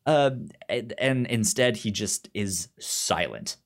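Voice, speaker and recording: A man's voice: lowish voice, animated, takes it slowly. A man casually discussing a film as a podcast co-host, often stammering and repeating words, and acting out characters' lines. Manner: animatedly acts out characters' lines.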